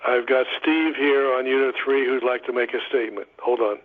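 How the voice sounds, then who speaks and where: Guy calling in, flat delivery, deliberate, crummy thin phone sound. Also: mid-range voice. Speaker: patient caller. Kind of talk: spoken remarks on a conference call. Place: conference call by telephone. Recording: lo-fi narrow phone line.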